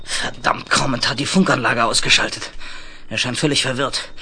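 A man's voice: medium pitch, steady tone, normal speed, heavy background noise, lightly acted. An actor playing a fatherly, exasperated space commander who barks orders with anxious hesitations in a 1970s radio drama. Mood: alarmed, exasperated. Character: older, urgent, fatherly space base commander, exasperated and worried.

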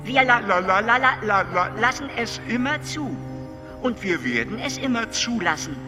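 Radio drama alien: high voice, calm and detached explainer with a glitchy stammer, music underneath. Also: male voice, normal speed. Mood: calm, matter-of-fact. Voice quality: stuttering, glitchy repeated syllables. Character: detached, matter-of-fact stammering alien being.

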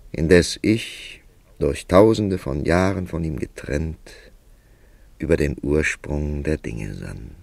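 A world-weary writer telling his story in a radio play. His voice is very low and gravelly, and he takes his time with a dry, ironic, literary delivery, recorded close and dry. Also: darkish tone, dry recording, exaggerated voice. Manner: measured, wry, literary storytelling. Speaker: narrator. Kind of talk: German literary radio drama narration.

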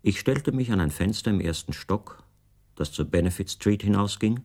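An actor playing a captive writer who recounts his confinement in measured, precise, detached literary narration for a radio play. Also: fairly low pitch, lively intonation, darkish tone. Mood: calm, observant.

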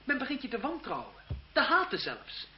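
An actor playing an idealistic, earnest young French seminarist and reformer in a radio drama, speaking in a declamatory way. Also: male voice, very high voice, wildly swooping pitch, moderate pace, exaggerated voice. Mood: impassioned. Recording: muffled, hissy old radio drama recording.

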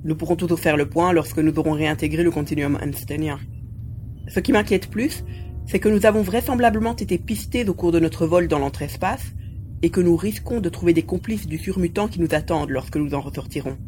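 A man playing a calm, analytical spaceship crew leader, measured and formal, in a science fiction audio drama. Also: a little high, soft background music, natural voice. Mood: calm concern.

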